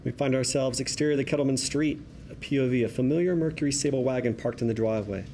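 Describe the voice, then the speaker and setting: A man narrates screenplay action as the stage-direction reader. His voice is medium in pitch with a soft, dark tone. A little noise sits behind him. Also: fast.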